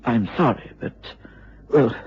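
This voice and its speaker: An actor playing a loyal, guarded butler in a radio drama, speaking in a deferential, earnest and halting way. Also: male voice, mid-range voice, a little mellow, close to an everyday voice. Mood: apologetic, earnest. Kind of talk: mid-century British radio drama acting. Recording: dull, noisy old radio recording.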